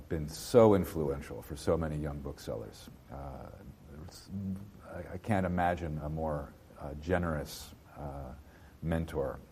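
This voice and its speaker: A man in his fifties from New Jersey, a bookseller being interviewed, speaking in a halting way with many fillers and restarts. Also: sits low, mellow. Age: 50s. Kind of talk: casual interview.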